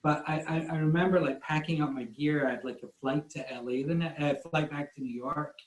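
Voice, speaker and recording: A man's voice: a little high, steady tone, brisk, slight background noise. A man from Scotland with a Scottish accent, a guest photographer telling rambling, self-deprecating stories in a casual online talk. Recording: roomy echo.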